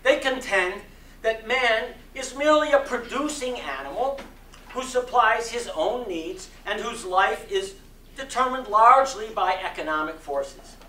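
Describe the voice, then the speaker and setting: A man delivers a dramatic sermon reading in a forceful, bright voice. His phrasing is slow, deliberate and oratorical. The sound is echoey, as if picked up at some distance in a hall. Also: high-pitched. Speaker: speaker giving a dramatic reading. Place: community center hall. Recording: roomy echo, distant mic, faint noise.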